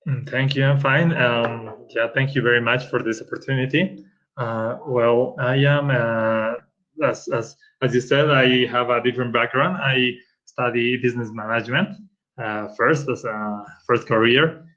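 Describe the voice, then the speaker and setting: A woman's voice: rumbling low, fairly even intonation, measured pace, a bit bright. A woman guest talking casually on a video call, agreeable and a little hesitant. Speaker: guest having a portfolio reviewed. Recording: dull lo-fi video call audio.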